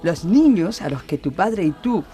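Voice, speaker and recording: A woman's voice: lowish voice, sing-song, moderate pace, mellow. A woman playing a devoted, eager wife in a Christmas radio play. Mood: tender. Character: devoted excited wife, Mary.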